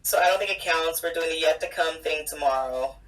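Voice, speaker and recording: A mother in her thirties, a guest on a casual fan chat, telling stories in a blunt, animated way. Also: deep voice, a little fast. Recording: distant mic.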